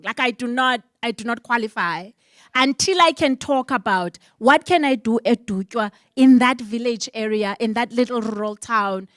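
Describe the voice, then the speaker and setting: A woman's voice: a little high, a bit bright, no room sound, studio quality. A middle-aged woman from the Eastern Cape with a South African accent, moderating a conference panel in an emphatic, passionate way, full of rhetorical questions. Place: symposium panel on stage.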